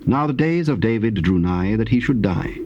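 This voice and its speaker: A man narrating dramatized scripture in a formal, solemn and measured style. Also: lowish voice, expressive, muffled recording. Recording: noisy, hissy old cassette tape.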